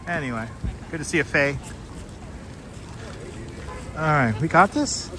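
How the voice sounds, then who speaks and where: A man's voice: on the high side, animated, unhurried, noisy background. A man hosting a live street stream outdoors at night, chatting casually and answering viewers by name, on a clip-on mic. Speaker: livestream host. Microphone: wireless clip-on mic.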